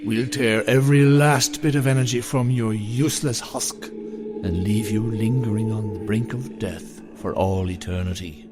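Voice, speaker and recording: Man acting slow-spoken, vengeful ancient evil piper. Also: fairly low pitch, steady tone, close to an everyday voice. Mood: menacing. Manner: theatrical menace, drawn-out threats. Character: vengeful evil ancient piper, tenderly obsessed with his flute. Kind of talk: audio drama acting. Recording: music under the voice.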